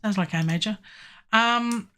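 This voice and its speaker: A middle-aged woman with a hoarse, sore throat, thinking aloud as she works on a song at home, with lots of ums and pauses. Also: mid-range voice. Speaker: music producer streaming a songwriting session. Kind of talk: casual live-stream talk while working. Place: home studio, indoors. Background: faint music playback from the session.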